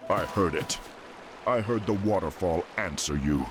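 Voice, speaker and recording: A man's voice: low voice, unhurried, noisy background. A man playing a proud, earnest young orc warrior in a fantasy game, terse and dignified. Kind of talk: fantasy game cutscene dialogue.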